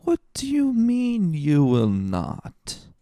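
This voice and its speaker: Stubborn, good-hearted drunkard, higher-pitched, slurred and drawling, audiobook drama. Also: male voice, huge pitch swings, dark tone, no room sound, clean studio sound, heavily acted. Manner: slurred, drawn-out drunken speech.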